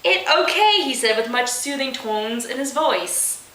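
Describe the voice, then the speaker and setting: Woman, high bright voice, unhurried, giggly mock-dramatic storytelling, incredulous asides, roomy echo. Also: steady tone. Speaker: host reading fan fiction aloud. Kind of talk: dramatic comic read-aloud of amateur story with asides.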